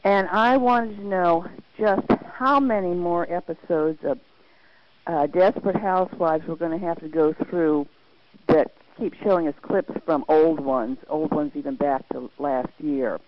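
A woman's voice: low voice, a little slow, slight background noise. Exasperated woman on a dull voicemail line. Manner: exasperated, complaining. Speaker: caller leaving a voicemail. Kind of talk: recorded voicemail question.

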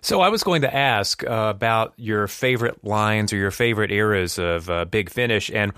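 A man's voice: on the low side, normal speed, sharp tone, clean tone. A man hosting a podcast, putting questions to his panel in a casual chat. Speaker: podcast host.

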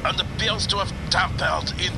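An actor playing a grave professor who explains a grim finding over the radio, in an audio drama. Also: male voice, high voice, fairly even intonation, vocal fry, heavy background noise. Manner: slow, deliberate, explanatory.

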